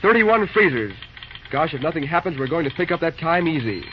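An earnest railroad dispatcher talks in a slightly high voice, businesslike and plain-spoken. It is old-time radio drama, recorded dully with a little noise. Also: male voice, sing-song, a little fast, lo-fi sound. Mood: confident. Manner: businesslike, colloquial.

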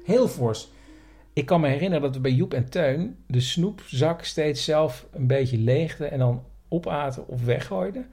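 A podcast host speaks in a medium-pitched voice. The delivery is casual, like chat on location. Music sits faintly behind the voice. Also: expressive, moderate pace, mellow, breathy edge.

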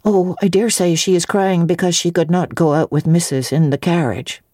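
A woman's voice: on the low side, steady tone, normal speed, darkish tone, no room sound, clean studio sound, lightly acted. A woman voicing a fussy nursemaid in a storybook reading, scolding as she puts in her word. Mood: chiding. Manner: scolding, interjecting.

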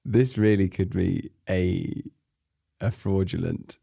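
Man from England, very deep voice, warm and dark tone, animated delivery, playful podcast host. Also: takes it slowly, vocal fry, husky, dry recording, studio quality. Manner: playful, jokey. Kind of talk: casual chat.

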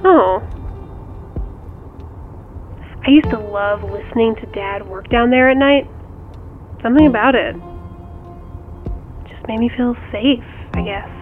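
Audio drama: sharp, wry sister played by a woman, highish voice, direct and probing, thin phone-line sound. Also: normal speed, long pauses, soft background music. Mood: softened. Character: sharp, teasing sister of the main character. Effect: phone call filter. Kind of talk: audio drama acting, conversational. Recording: tinny phone line, faint background noise.

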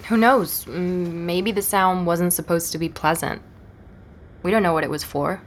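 Actress as low-voiced woman investigator, clear background noise. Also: steady tone, normal speed, close to an everyday voice. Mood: thoughtful, casual. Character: curious, self-assured female investigator reporting her findings. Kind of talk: naturalistic audio drama dialogue.

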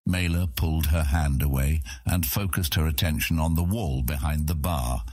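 A man's voice: a bass voice, moderate pace, darkish tone, no echo, clean studio sound. A man narrating scripted fantasy fiction as an audiobook narrator.

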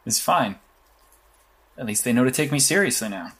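Man playing a blunt vigilante, slightly husky voice. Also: medium pitch, animated, normal speed, fairly bright tone. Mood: cool, deadpan. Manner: clipped, matter-of-fact. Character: blunt, secretive male vigilante, calm commanding strategist. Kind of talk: audio drama dialogue.

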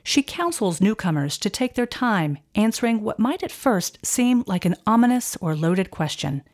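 A woman host giving scripted documentary narration in a steady, composed delivery. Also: medium pitch, normal speed. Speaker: podcast narrator.

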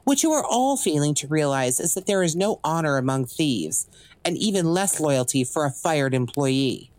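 A woman's voice: low-pitched, lively intonation, moderate pace, a little mellow, dry recording. A woman acting in an audio drama as a cocky legendary assassin and thief for hire, with smug, theatrical, drawling taunts. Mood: scornful, commanding.

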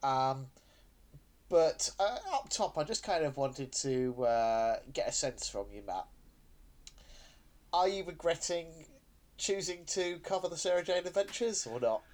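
A man's voice: on the high side, expressive, a little slow. A man hosting a podcast in casual conversation, often stumbling over restarts and fillers and laughing easily. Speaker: podcast co-host.

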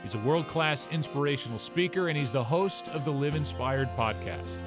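A man's voice: medium pitch, expressive, moderate pace. A man reading a scripted show introduction with a polished announcer delivery. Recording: intro voice over guitar music.

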